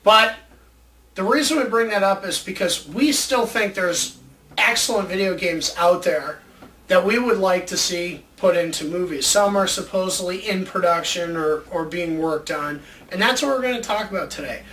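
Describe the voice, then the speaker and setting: Male co-host, high bright voice, enthusiastic rambling chat, distant mic with faint noise. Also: normal speed. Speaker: show host. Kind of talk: casual chat about movies.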